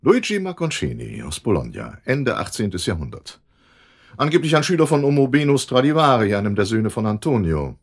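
Slick shady dealer in radio drama, raspy-husky, really animated pitch, sardonic and condescending. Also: male voice, brisk. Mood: smug, explanatory. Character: slick, evasive shady male dealer in forged violins. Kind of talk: German radio drama acting.

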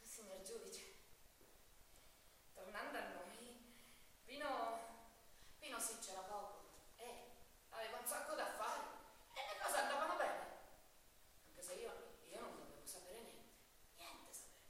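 A woman's voice: fairly high pitch, lively intonation, a little slow, long pauses, fairly bright tone. A woman playing a proud, submissive mafia wife and mother in a stage monologue, testifying in a chatty, insinuating, repetitive way. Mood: evasive, nervous. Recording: echoey hall from far off, hissy.

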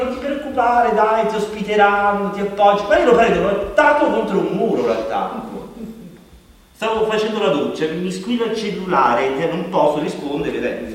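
Actor doing exasperated guy rambling in a stage monologue, highish voice, far off in a big echoey hall. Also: natural voice. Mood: indignant. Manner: exasperated, digressive, conversational storytelling. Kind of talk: theatrical monologue in Italian stage production. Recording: very echoey hall, distant mic, faint noise.

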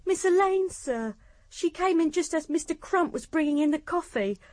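An actress playing a timid, defensive young maid in a radio drama, with an English accent and an anxious, respectful manner. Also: female voice, extremely high pitch, expressive, normal speed, a little mellow, dry recording. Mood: nervous, deferential. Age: young adult.

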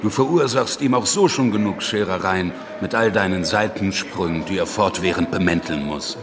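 A man's voice: sits low, moderate pace, background noise, natural voice. A man playing an unruffled, teasing revolutionary comrade in a radio play, with a dry, admonishing manner. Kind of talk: radio drama dialogue.

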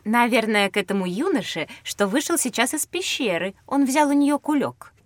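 A woman's voice: on the high side, lively intonation, moderate pace, no room sound, close to an everyday voice. An actress voicing an inquisitive, wondering young girl in a scripted educational audio drama for children. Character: bright, wondering young girl on a learning adventure.

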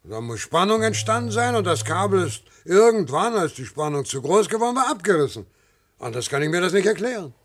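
A dutiful male technician in a regimented future. His voice is a little higher than most men's. He is earnest and matter-of-fact in radio drama style, brushing doubts aside. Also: sing-song, brisk. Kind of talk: German science-fiction radio drama acting.

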